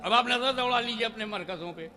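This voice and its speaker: A man delivering an impassioned religious speech, shouting rhythmic refrains to a gathering. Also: extremely high pitch, brisk, over music. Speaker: Islamic preacher.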